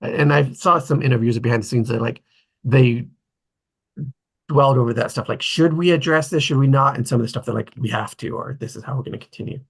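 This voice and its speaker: A man's voice: medium pitch, brisk, vocal fry, breathy edge. A man hosting a casual group discussion, speaking in an informal, tentative way. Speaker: table read host.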